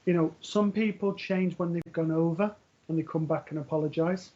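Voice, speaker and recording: A man working as a medium, giving a live reading in a gentle, reassuring way, often pausing with ums and restarting sentences. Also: a little high, fairly even intonation. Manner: hesitant, full of ums and restarts. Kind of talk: live-streamed spiritual reading. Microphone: earpiece for live broadcast. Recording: dull lo-fi stream audio.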